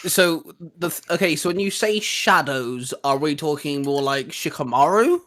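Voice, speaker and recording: Casual higher-voiced man hosting. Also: animated, moderate pace, a bit bright. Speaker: host. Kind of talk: casual scripted intro and chat.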